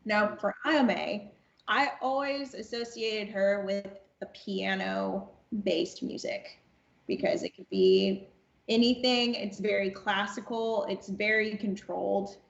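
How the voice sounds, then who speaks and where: A woman speaking as a podcast guest in reflective interview talk, deliberate and emphatic, repeating phrases for stress. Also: mid-range voice, fairly even intonation, vocal fry, roomy sound, highs cut off. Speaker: audio drama cast member, podcast guest.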